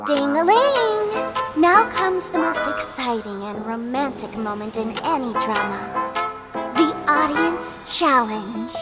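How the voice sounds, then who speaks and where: Game role: playful girl detective, very high voice, wildly swooping mock-tragic delivery. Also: sing-song, takes it slowly, loud music behind the voice, exaggerated voice. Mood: gleeful announcing. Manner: theatrical, exaggerated mock-tragic declaiming. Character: playful, melodramatic girl detective narrating a murder mystery. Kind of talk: theatrical video game voice acting.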